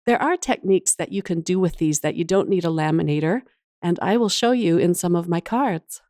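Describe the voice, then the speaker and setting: A woman's voice: lowish voice, lively intonation, a little fast, warm tone, no room sound, clean studio sound. A woman hosting a casual craft tutorial voice-over, chatty and enthusiastic, her voice slightly off from a cold and cough. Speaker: craft channel host.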